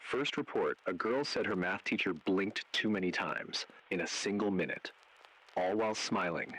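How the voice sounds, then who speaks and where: A man playing an ominous agent who narrates an interactive horror warning in grave, deliberate, foreboding second-person script. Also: sits low, lively intonation, fairly bright tone, breathy edge, exaggerated voice, poor audio.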